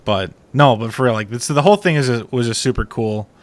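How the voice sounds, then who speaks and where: A man's voice: mid-range voice, fast talker, no echo. A man hosting a casual interview, talking in an informal, slangy and encouraging way. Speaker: podcast host.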